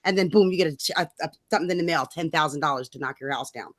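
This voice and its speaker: A woman's voice: deep voice, racing, no echo, studio quality. A woman ranting casually about politics in a blunt, emphatic, sweary way, as a co-host.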